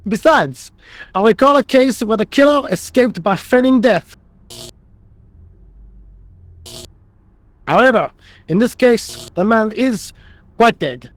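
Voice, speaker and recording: A man's voice: very high voice, animated, unhurried, no room sound. A man playing a deferential, earnest and rather dim French police assistant with a French accent in a video game. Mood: eager, flustered. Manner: deferential, earnest, literal-minded.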